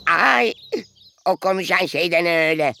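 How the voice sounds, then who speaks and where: A flustered, scatterbrained little dwarf man from a children's fairy-tale cartoon. The voice sits fairly low for a woman and swings about in pitch, with hesitant, exclamatory delivery over loud music. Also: lively intonation, normal speed, creaky, exaggerated voice. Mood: startled. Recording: music behind the voice.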